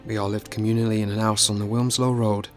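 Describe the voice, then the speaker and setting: An actor playing an earnest seventeen-year-old theatre newcomer in a radio drama. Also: male voice, fairly low pitch, level tone, quick pace, music in the background, close to an everyday voice. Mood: measured narrating. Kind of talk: radio drama acting and narration.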